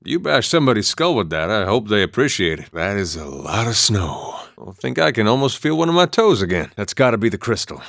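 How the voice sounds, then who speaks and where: An actor playing a laid-back, wry farmer and war veteran in a video game, with a folksy drawl and dry, understated humor. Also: male voice, on the low side, big pitch swings, a little fast, vocal fry, few pauses, a bit bright, no room sound, heavily acted. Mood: easygoing, dry. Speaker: video game companion character. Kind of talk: video game voice acting, story, banter and fight barks.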